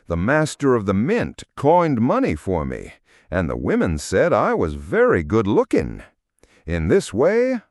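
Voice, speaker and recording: A man voicing a living shadow turned wealthy gentleman in a storybook, self-important, boastful and condescending. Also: mid-range voice, no room sound, clean studio sound, voice pushed up, full character voice. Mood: smug, boastful.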